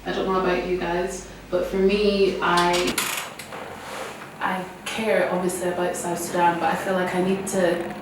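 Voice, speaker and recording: A woman's voice: on the low side, monotone, measured pace. A woman in her twenties hosting a casual group podcast, chatty and full of "like" fillers. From Scotland. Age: 20s. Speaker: podcast host. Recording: echoey room, distant mic, faint noise.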